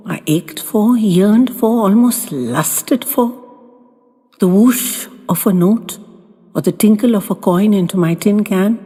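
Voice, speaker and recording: A woman narrating a first-person audio story in a wistful, theatrical and deliberate way. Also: fairly low pitch, dark tone, breathy edge. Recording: long reverb, music underneath.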